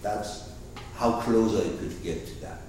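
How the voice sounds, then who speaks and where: A man's voice: lowish voice, slow, a little mellow, slight background noise. A man with an Arabic accent explaining terms to a class, rambling and asking the room questions. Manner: digressive, asks the room questions. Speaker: teacher. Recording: roomy, far from the mic.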